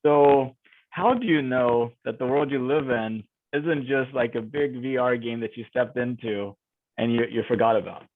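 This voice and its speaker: A man's voice: mid-range voice, moderate pace, no echo. A man interviewing a guest, musing and rambling with many fillers. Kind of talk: casual conversational interview. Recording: tinny, dry phone-like line.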